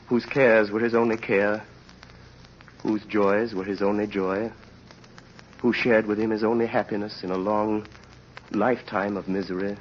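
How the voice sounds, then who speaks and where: An actor playing a grave, impassioned storyteller, narrating a tragedy solemnly and theatrically in an old radio drama. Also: male voice, fairly low pitch, a little hiss or hum, lightly acted. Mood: somber. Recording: muffled old radio recording.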